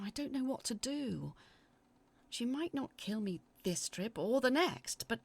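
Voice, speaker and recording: A woman acting as an anxious narrator, telling a scripted first-person horror story in a confiding, uneasy, dramatic way with a husky, creaky voice. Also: a little high. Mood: anxious, pleading. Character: anxious woman reading out her frightened letters about sinister events.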